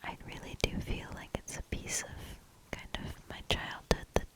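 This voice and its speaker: A woman doing soft ASMR storybook reading and quiet commentary in a breathy, gentle, hushed whisper. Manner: slow, gentle, hushed delivery.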